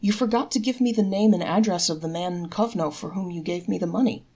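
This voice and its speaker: A woman's voice: fairly low pitch, fast, warm tone. A woman voicing a puzzled, respectful young village father in a storybook folktale, questioning with emphasis. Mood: anxious. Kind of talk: storybook character voice in a read-aloud folktale.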